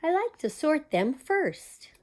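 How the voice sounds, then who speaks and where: A woman's voice: sits high, sing-song, slow pace, a little mellow, a little hiss or hum. A woman reading a children's picture book aloud as a storytime host, expressively and with small asides to young listeners. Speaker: storytime reader at a community library.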